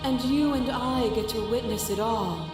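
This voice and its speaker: A woman's voice: a little high, moderate pace, natural voice. A woman playing a serene, imperious cosmic goddess in a visual novel, speaking with solemn grandeur and absolute conviction. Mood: serene certainty. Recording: long echoing reverb, music behind.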